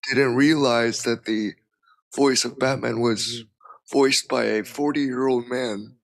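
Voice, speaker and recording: Male guest, gravelly mid-range voice, easygoing and hesitant. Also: medium pitch, steady tone, slow, poor audio. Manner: hesitant, many ums and restarts, says "you know" often. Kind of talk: casual interview chat.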